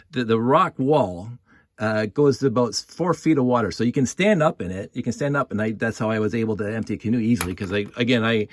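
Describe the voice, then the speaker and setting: A man tells a story in an informal, improvised way. His voice sits at an average pitch, and he rambles, doubling up on words and phrases. The sound is dry, with little room echo. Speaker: storyteller and video host. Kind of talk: casual improvised storytelling with toy props.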